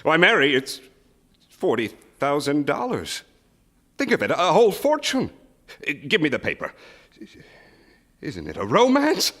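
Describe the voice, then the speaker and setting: An actor playing an anxious, guilt-ridden small-town husband in a live radio drama, hesitant and stammering with sudden outbursts. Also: male voice, a little high, huge pitch swings, unhurried, pauses often, fairly bright tone, full character voice. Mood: excited. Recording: live stage recording before audience.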